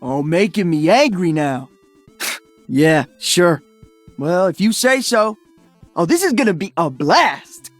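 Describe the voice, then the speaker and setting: Upbeat cocky young dj hero in a game, breezy slang, super animated, music under it. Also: male voice, high-pitched, slow pace, pauses often, dry recording, full character voice. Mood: annoyed, then excited. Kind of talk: video game character voice lines.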